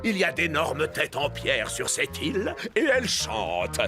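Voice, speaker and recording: Cartoon pirate villain: grandiose and greedy, high gravelly voice, music behind. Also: male voice, wildly swooping pitch, unhurried, exaggerated voice. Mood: commanding, scheming. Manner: pompous, grandiose. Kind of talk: cartoon dub acting.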